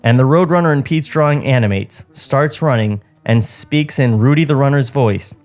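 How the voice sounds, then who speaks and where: A man's voice: medium pitch, normal speed, darkish tone, soft background music. A man co-hosting a podcast, reading stage directions aloud in a table read with joking asides.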